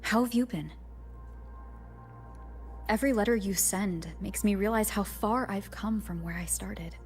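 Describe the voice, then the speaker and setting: An actress voicing a young, gentle, earnest wandering hero in a video game, speaking in a measured, reflective way like a narrated letter. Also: female voice, mid-range voice, natural voice. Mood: warm, caring. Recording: echoey, long reverb, music behind.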